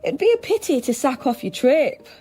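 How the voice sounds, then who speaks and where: A woman's voice: sits high, sing-song, normal speed, warm tone. An actress in a naturalistic radio drama playing a watchful undercover policewoman posing as a nanny, tentative and guarded. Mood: coaxing.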